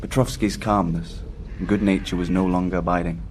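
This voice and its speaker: An actor playing a haunted seafarer recounting a doomed voyage in solemn, scripted first-person horror narration. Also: male voice, low-pitched, fairly even intonation, a little fast, a little mellow, slight background noise, natural voice. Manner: solemn, literary storytelling.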